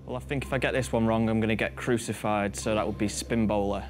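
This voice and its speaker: A man's voice: on the low side, fairly even intonation, fast, a little hiss or hum. A twenty-year-old English man answering questions as a quiz contestant.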